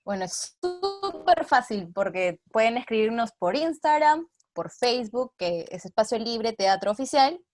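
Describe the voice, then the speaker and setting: A woman's voice: on the high side, big pitch swings, unhurried, over music. A woman with a Peruvian accent talking casually as an interview guest, earnest and explanatory. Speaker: theater artist, interview guest. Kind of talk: casual video-call interview.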